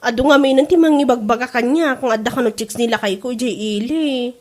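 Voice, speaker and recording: A woman's voice: fairly high pitch, a little fast, darkish tone, natural voice. A woman playing an anxious wronged wife in an Ilocano comedy drama, whiny and exclaiming, with an Ilocano accent. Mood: distressed. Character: wronged wife, anxious, pleading with her husband.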